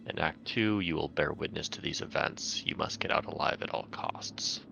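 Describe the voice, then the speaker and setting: Male tabletop player, bottomless creaky voice with a forceful edge, reading game notes aloud. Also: very deep voice, moderate pace, rough recording. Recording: faint background noise.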